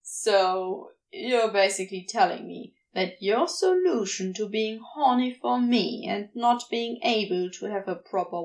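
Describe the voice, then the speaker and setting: A woman's voice: mid-range voice, lightly acted. A woman voicing a wily, affectionate male demon in a fan fiction audio story, teasing and sardonic in a casual way. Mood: amused, incredulous.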